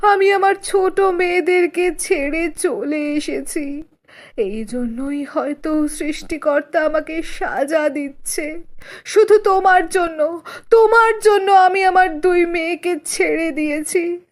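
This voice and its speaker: A hard-hearted cartoon father acted out by a woman, high in pitch. He speaks emphatically and slowly, full of melodrama. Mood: hurt, pleading. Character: hard-hearted father, later a pleading betrayed old man. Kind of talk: children's cartoon dubbing.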